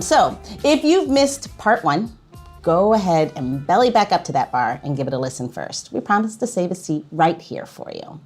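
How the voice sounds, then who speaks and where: A woman's voice: mid-range voice, darkish tone. A woman hosting an interview podcast, chatting casually and bantering with her guest. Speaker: podcast host.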